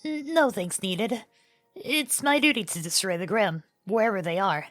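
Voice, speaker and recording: A woman playing a dutiful young queen in an animated fan dub, courteous and earnest, a little hesitant and unsure. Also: mid-range voice, normal speed, dry recording, faint music behind, lightly acted.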